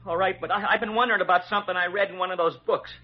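A man's voice: high-pitched, steady tone, racing. An actor playing a fretful, earnest young father-to-be, anxious and flustered, in an old radio drama. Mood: nervous relief. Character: jittery, fretful, eager young expectant father. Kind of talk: 1940s radio comedy-drama acting. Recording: dull, muffled vintage radio recording.

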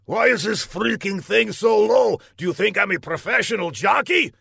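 A man voicing a bombastic, short-tempered villain mastermind in a comic audiobook, theatrical and given to shouting. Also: high voice, sing-song, unhurried, creaky, breathy edge, no echo, clean studio sound, pitched above the performer's own voice, exaggerated voice. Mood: exasperated fury. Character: bombastic, short-tempered male villain mastermind, mock game-show host.

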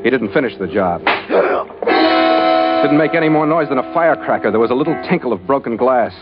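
A man plays a tough sea captain in a vintage radio adventure. His medium-pitched voice is terse and hard-boiled. The recording is dull, with clear music behind it. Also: lively intonation, brisk, natural voice. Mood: grim, matter-of-fact. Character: tough, laconic ship's skipper narrating his adventure. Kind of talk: old-time radio drama narration and dialogue.

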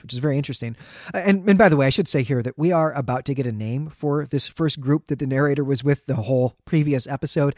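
Guy doing a podcast recap, mid-pitched voice, explains stuff step by step, really dry close sound. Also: a little mellow, no echo. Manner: explanatory, often checks in with "right". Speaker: podcast co-host. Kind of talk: conversational literary recap and discussion.

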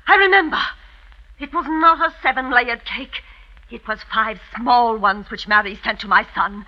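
A woman's voice: a little high, normal speed, lightly acted. An actress playing a proud, stern older mother-in-law with a haughty, dramatic delivery in a 1950s radio drama. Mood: agitated confession. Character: stern, proud old French mother-in-law, chateau mistress. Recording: dull vintage radio recording.

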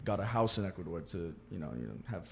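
A man's voice: low voice, animated, fast talker, gravelly. A young man from North Carolina telling a story in a casual podcast chat, rambling, swearing and laughing often. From Charlotte, North Carolina. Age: young adult. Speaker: guest.

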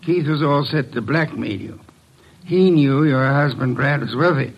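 An actor playing an imperious detective who speaks formally and precisely, in old-time radio mystery style. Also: male voice, medium pitch, unhurried, lightly acted. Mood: stern, deliberate. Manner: commanding, formal, precise diction. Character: imperious, deliberate male detective, a mastermind unmasking a killer. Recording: muffled, dull old radio recording.

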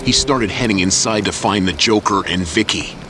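A man's voice: lowish voice, normal speed, music in the background. A man narrating a scripted action story as an audio drama. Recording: loud dramatic score and battle effects behind.

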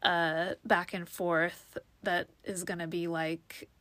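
A woman's voice: fairly low pitch, level tone, takes it slowly, pushed and bright, dry recording. Sniffly young woman podcasting. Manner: rambling, many fillers and restarts. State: sniffly from allergies. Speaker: podcast host. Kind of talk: casual solo podcast monologue.

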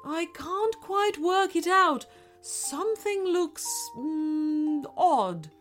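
A woman voicing a nervous male giraffe who speaks in a hushed, confiding way. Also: extremely high pitch, expressive, drawn-out words, faint music behind, pitched above the performer's own voice, full character voice. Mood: worried hushed.

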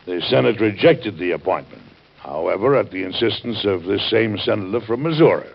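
An actor playing a warm, earnest, wryly amused American president in an emphatic, theatrical 1940s radio style. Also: male voice, on the low side, expressive, brisk, vocal fry, husky, full character voice. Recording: dull vintage radio recording.

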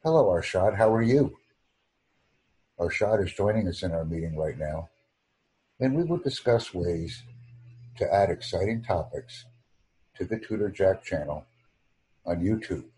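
An American man hosting a YouTube channel, speaking formally and deliberately with careful enunciation on a video call. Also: low-pitched, expressive, warm tone, slightly rough, low-quality recording. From United States.

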